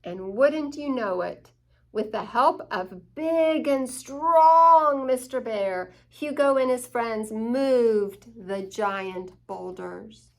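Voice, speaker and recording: Woman, children's story reader, slightly high pitch, very slow pace, expressive, deliberate delivery. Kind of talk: children's picture-book read-aloud with asides to young listeners.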